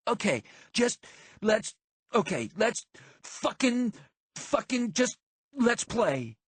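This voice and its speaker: A cocky, crude teenage boy in an audiobook novel, played by a male narrator. The voice is lifted above a middle male pitch and swaggers like a party show-off. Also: lively intonation, takes it slowly, no echo. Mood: impatient.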